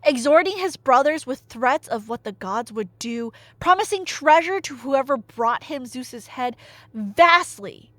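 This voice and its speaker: A young woman retelling a tale as a chatty, theatrical storyteller, with emphatic delivery, dry humor and dramatic pauses. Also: high-pitched, animated, fairly bright tone, dry recording. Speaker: audio storyteller playing a nerdy friend. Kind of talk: casual dramatic retelling of myth, as ASMR roleplay.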